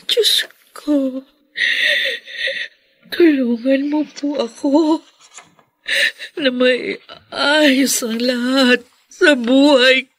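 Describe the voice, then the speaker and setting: An actress playing a remorseful, suffering young woman in a Filipino radio drama, pleading in a plaintive, halting way. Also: high-pitched, steady tone, no echo. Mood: weary, remorseful.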